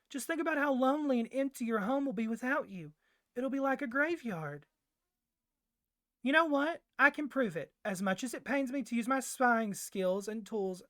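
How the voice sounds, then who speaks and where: A woman voicing a doting, clingy young yandere boyfriend kidnapper in a soft ASMR roleplay, gushing and coaxing, with flustered hesitations. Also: fairly high pitch, no echo, studio quality, lightly acted. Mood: insistent, adoring.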